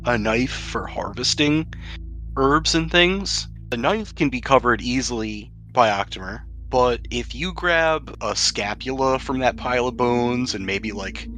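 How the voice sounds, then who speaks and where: Bright-voiced man running a tabletop game. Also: medium pitch. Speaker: game master. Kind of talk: casual explaining while running a tabletop game.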